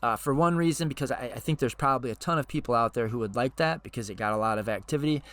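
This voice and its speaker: A man hosting his own channel, hoarse and losing his voice, talking casually and unscripted with frequent fillers and restarts. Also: mid-range voice, very fast, a bit bright. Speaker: channel host.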